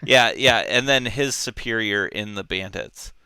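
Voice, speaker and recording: Man, bright crisp voice, dry close sound, casual book talk, thinking aloud. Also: on the low side, a little slow, no echo. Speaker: book club podcast host.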